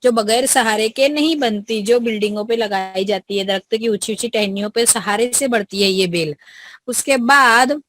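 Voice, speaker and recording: A woman's voice: a little high, moderate pace, fairly bright tone, no echo. A woman teaching an online class to schoolchildren over a video call, coaxing them and repeating her prompts, with an Indian accent.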